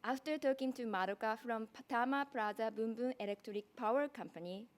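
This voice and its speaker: A woman's voice: on the high side, a little fast. A young woman with a strong Japanese accent giving a prepared thesis presentation, speaking carefully with frequent restarts. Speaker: design graduate student presenting a thesis.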